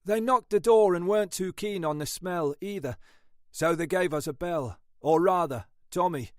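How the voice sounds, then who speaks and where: A man's voice: high voice, normal speed, dry recording, clean studio sound, pitched above the performer's own voice, natural voice. A man voicing an overworked police detective in an audiobook, mournful and chatty, grumbling in colloquial speech. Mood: grim, chatty.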